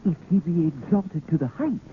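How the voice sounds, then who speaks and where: Court astrologer voiced by a man, highish pitch, unhurried, hesitant, solemn, tinny old radio play. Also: lively intonation, a little hiss or hum, natural voice. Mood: portentous. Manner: hesitant, portentous, formal. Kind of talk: 1940s radio acting.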